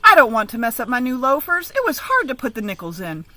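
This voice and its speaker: Lady reading as a nine year old storybook boy, bright voice, earnest and kinda pleading. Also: on the high side, quick pace, voice pushed up, exaggerated voice. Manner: pleading, expressive.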